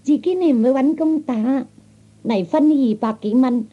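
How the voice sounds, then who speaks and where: A man's voice: very high-pitched, normal speed, breathy edge. A man voicing a feisty, haughty young woman with a Cantonese accent, in old radio comedy style. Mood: scolding. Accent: Cantonese, Hong Kong or Guangdong. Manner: sassy, scolding, self-assured. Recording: dull old 1960s comedy record.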